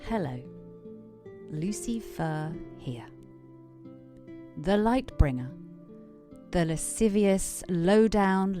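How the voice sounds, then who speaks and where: A woman's voice: medium pitch, dark tone. A woman playing a sly, lascivious, self-amused devil narrating an audio drama in a theatrical, wordy, playful way.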